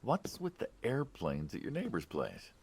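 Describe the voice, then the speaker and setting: A man's voice: on the low side, huge pitch swings, normal speed, creaky, darkish tone, husky, exaggerated voice. A man playing a friendly, inquisitive, wryly skeptical middle-aged American mobster in naturalistic video game dialogue. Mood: curious, teasing.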